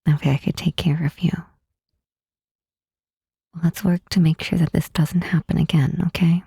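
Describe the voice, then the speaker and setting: A woman playing a gentle, reassuring demon queen in an intimate ASMR roleplay, speaking softly and tenderly with a breathy, husky voice and deliberate pauses. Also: sits low, fairly even intonation, no echo, studio quality, full character voice. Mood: tender reassurance. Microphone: close mic, dry.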